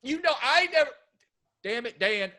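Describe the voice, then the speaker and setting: A man reading the part of a gruff, scornful middle-aged farmer father, quick to anger. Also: very high-pitched, expressive, sharp tone, no room sound, clean studio sound, full character voice. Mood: angry. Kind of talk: staged play reading.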